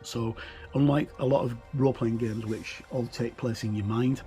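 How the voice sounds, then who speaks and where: A middle-aged man giving an informal explanatory walkthrough as a gaming reviewer, halting as he thinks aloud. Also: medium pitch, fairly even intonation, dark tone, faint music behind.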